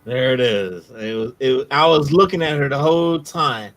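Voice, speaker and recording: A man's voice: mid-range voice, moderate pace, fairly bright tone. A man hosting a casual online show, talking in a laid-back slangy way with many fillers. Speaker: host. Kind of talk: casual chat, channel shout-out.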